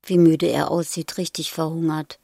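An actress as a laconic narrator in a German radio play, giving short, clipped observations. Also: female voice, low voice, flat delivery, moderate pace, gravelly, mellow, no echo, studio quality. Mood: narrating. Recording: music behind the voice.

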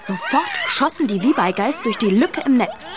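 A woman's voice: on the high side, very animated, moderate pace. A woman narrator telling a scripted story in a children's radio play. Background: music.

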